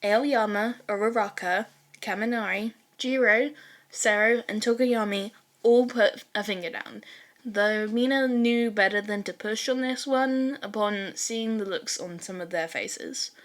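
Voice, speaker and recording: A woman's voice: mid-range voice, a little slow. A woman reading fan fiction aloud as a scripted story narration.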